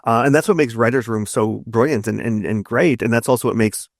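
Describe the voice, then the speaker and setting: A man talks casually as a guest in an interview. His voice sits at a middle pitch and the sound is very dry. He tells things eagerly in a loose, rambling way, doubling back on words as he goes. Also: brisk, dry recording. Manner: rambling, repeats words in bursts, enthusiastic storyteller. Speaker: interview guest, narrative designer and writer.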